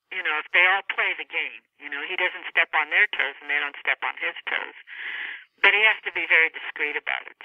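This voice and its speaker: A woman speaking as an author guest in an unscripted interview, halting and often rephrasing mid-sentence. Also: lowish voice, vocal fry, no room sound. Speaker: author guest discussing own novel. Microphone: telephone. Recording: tinny, narrow phone line.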